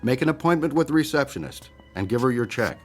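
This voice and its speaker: An actor playing a self-important, defensive hypnotherapist, measured and formal, in a radio drama. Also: male voice, mid-range voice, lively intonation, faint music behind, natural voice. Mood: smooth, patronizing.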